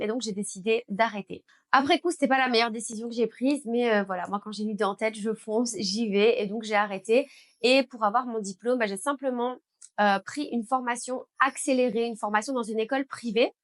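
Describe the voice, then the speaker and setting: A young woman with a Belgian French accent tells her own story to camera in a chatty, candid way, with frequent small fillers. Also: medium pitch. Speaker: beautician and business owner. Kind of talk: personal story told to camera.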